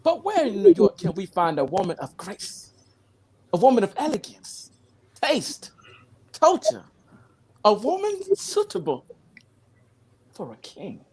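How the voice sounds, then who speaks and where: A woman playing an eager, excitable royal companion with dramatic, exclamatory delivery in a comedy table read. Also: medium pitch, unhurried, long pauses.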